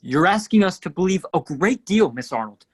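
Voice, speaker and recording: A man playing a forceful, accusing district attorney in a radio play, theatrical and emphatic. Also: sits high, animated, normal speed, slightly rough, no echo, studio quality. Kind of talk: radio play courtroom acting.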